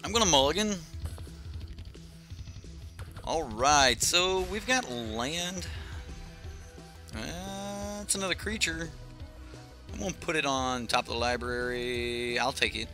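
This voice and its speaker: A man's voice: on the high side, slow, pauses often, sharp tone, soft background music. A young man casually commentating his own card game on a live stream, thinking aloud with lots of fillers and restarts.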